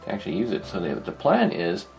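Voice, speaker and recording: A man's voice: sits low, huge pitch swings, fast, gravelly, faint music behind. A man narrating a hobby workshop project informally, thinking aloud in a hesitant, rambling, musing way. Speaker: host of a hobby electronics restoration video.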